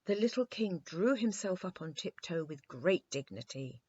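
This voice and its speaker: A woman's voice: lowish voice, animated, normal speed, mellow, husky, highs cut off. A woman narrating a scripted children's bedtime story.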